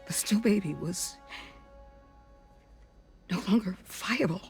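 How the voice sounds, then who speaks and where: Game role: weary, sorrowful doctor, highish voice, very measured and halting, music underneath. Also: male voice. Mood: grieving, regretful. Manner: halting, measured delivery in short broken phrases. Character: grieving, weary doctor who delivers babies, pleading for refugee mothers. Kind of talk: cinematic video game drama.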